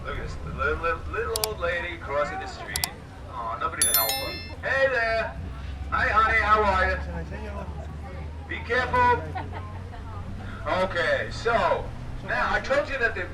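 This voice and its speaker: Male trolley guide, hoarse and raspy, chatty PA patter, distant over heavy vehicle noise. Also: high-pitched. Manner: chatty, joking, addresses riders as folks. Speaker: trolley tour guide and driver. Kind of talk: live guided tour commentary over a PA. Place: moving trolley on city streets. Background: trolley engine and street noise.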